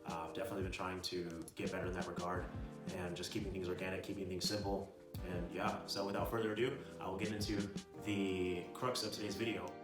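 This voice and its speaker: A man's voice: deep voice, little pitch movement, quick pace. A young man giving casual motivational advice in an informal, slangy way, often checking in with a "right?". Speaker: video host giving self-improvement advice. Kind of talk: casual motivational talk to camera. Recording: roomy echo, distant mic, music behind.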